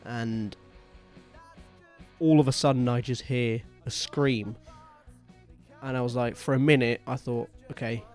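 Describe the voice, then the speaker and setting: A man with a mid-range voice hosts a casual band podcast. He talks in a chatty way and is quick with jokes and teasing. Faint music plays behind him. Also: medium pitch, fairly even intonation, darkish tone. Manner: chatty, joking, quick to tease. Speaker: podcast host and band member. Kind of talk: casual chat.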